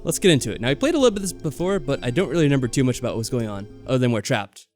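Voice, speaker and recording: A man's voice: medium pitch, animated, very fast, a little mellow. A man hosting a let's play, chatting casually and a bit tentatively as he comments on a game and reads from it. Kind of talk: casual chat and game commentary, reading game text aloud. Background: game music behind the voice.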